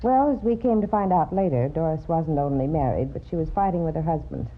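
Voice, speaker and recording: A woman's voice: low voice, fairly quick, lightly acted. A woman playing a prim, gossipy boarding house landlady in a radio drama, fussy, precise and disapproving. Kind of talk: old-time radio drama acting. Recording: dull, faintly noisy vintage radio recording.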